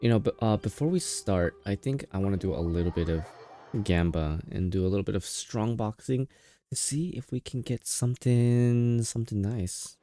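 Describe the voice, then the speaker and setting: A man's voice: on the low side, moderate pace, mellow, dry recording. A man livestreaming a game in casual chat, sounding tired, rambling and playfully teasing. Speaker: game streamer. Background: game music.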